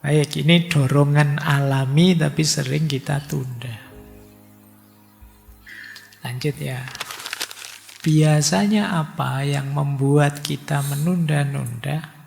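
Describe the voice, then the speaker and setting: Gravelly man lecturing in a hall. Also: on the high side, steady tone, slow, pauses often, a little mellow, faint music behind. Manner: chatty, mixes in Javanese words and acts out worried thoughts. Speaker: lecturer on philosophy and religion. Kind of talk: casual religious lecture.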